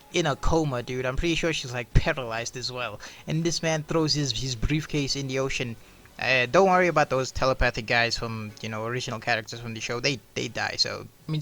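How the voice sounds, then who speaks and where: A man's voice: mid-range voice, fairly bright tone. A man giving a casual, rambling video review, slangy and sweary, peppered with "bro" and "dude". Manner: slangy, profane, says "bro" and "dude" often.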